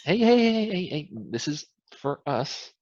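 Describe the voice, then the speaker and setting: An actor in a staged play reading, playing a guarded, sceptical man, a reluctant business partner with a dry, halting delivery. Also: a little high, very animated, a little mellow, lo-fi sound. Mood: flustered.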